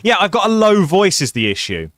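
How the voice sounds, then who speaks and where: A man with a low voice hosting a casual co-op gameplay session, chatty and playful, swearing now and then. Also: sing-song, a little fast, fairly bright tone, dry recording, studio quality. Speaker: gaming channel host.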